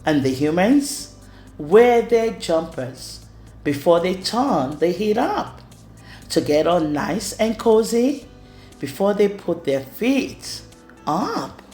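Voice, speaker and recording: A woman reading a rhyming children's storybook aloud in an expressive way. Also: on the low side, slow. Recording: roomy echo with music underneath. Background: music.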